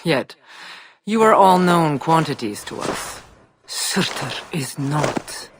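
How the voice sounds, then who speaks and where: An actor voicing a proud, defiant giant lord in a video game, with a theatrical, measured and scornful delivery. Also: male voice, a little high, animated. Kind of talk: dramatic video game voice acting. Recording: clear background noise.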